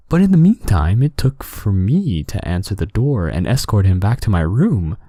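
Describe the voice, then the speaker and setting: Male narrator for bedtime horror tales: lowish, mellow voice, expressive pitch movement, close dry sound. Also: husky, no echo. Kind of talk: scripted horror story narration, relaxing ASMR-like bedtime reading.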